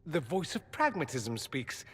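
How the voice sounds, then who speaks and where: A man's voice: on the high side, big pitch swings, a little slow, fairly bright tone, husky, big-room sound, exaggerated voice. An actor playing a witty, sardonic young male mage in a video game cutscene, theatrical and wry.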